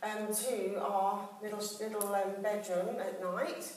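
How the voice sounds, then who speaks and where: A woman's voice: mid-range voice, fairly even intonation, slow pace, a little mellow. A woman giving a flower arranging demonstration, rambling and chatty with many ums and restarts, as if telling a room of listeners. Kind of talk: live floristry demonstration with chatty asides. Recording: echoey hall, recorded from a distance.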